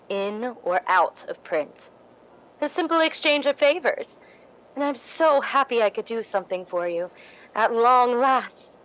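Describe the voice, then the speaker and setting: A woman playing an ambitious, scheming, falsely sweet young stage actress in a radio drama, deliberate and insinuating. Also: a little high, a bit bright, rough recording. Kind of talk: recreated 1950s radio drama acting.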